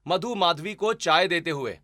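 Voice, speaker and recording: Male storyteller, bright forceful delivery, fairly high pitch, expressive dramatic family-story narration. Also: normal speed.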